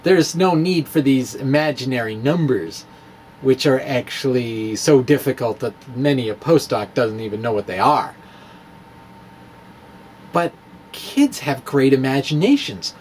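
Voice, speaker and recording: A man giving a playful, self-mocking demonstration of math with clay and pipe cleaners. He often pauses and restarts while he works with his hands. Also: medium pitch, expressive, a little mellow, a little hiss or hum. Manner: wry, self-mocking, chuckles at own jokes. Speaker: physics blogger demonstrating math.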